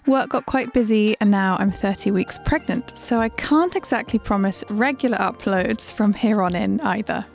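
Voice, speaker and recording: A young woman hosts her podcast in a friendly, upbeat manner. Her voice sits at an ordinary middle pitch. She moves from a prepared introduction into relaxed conversation with her guest. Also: normal speed, vocal fry, hardly any pauses, faint music behind. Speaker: podcast host. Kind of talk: scripted intro then casual interview.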